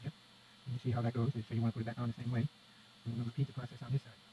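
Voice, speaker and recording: A man's voice: mid-range voice, flat delivery, very fast, mellow. A man giving a casual hands-on repair demonstration, muttering step by step as he works. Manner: muttering step-by-step commentary to himself and viewer. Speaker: presenter of a radio repair how-to. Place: indoors at a repair workbench. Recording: dull lo-fi sound with faint noise.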